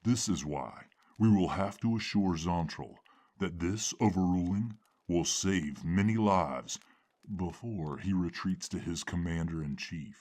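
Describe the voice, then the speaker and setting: Ein Mann als strenger, tiefer Fürst. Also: male voice, sits low, a little slow, darkish tone. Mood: stern. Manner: commanding, formal. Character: Lord Bardock, stern authoritative nobleman.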